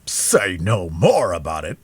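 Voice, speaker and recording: A man voicing a boastful, blustering musketeer who speaks loudly and with self-satisfaction in an audiobook reading. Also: deep voice, sing-song, slow pace, husky, no echo, voice pushed down, full character voice. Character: boastful, hearty male musketeer Porthos.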